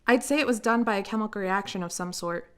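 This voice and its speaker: A woman playing a crisp, factual announcer and investigator in a radio drama. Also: mid-range voice, fast talker, a bit bright, close to an everyday voice. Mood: matter-of-fact.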